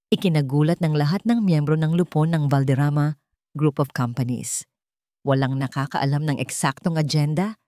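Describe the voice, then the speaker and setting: A woman narrating a dramatic story in a steady reading voice. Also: deep voice, no echo, clean studio sound.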